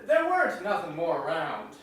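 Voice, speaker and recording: Genial rural storyteller, high male voice, folksy, stage drama, distant echoing room, faint noise. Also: wildly swooping pitch, moderate pace, a bit bright. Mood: amused. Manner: folksy rural dialect, drawn out. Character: genial, folksy small-town countryman telling stories. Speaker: actor in a staged reading. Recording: echoey hall, distant mic, faint noise.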